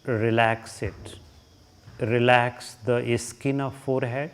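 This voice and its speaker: A man with an Indian accent leading a guided relaxation as a yoga teacher, giving short instructions with long pauses between them. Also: medium pitch, little pitch movement, clear, resonant tone.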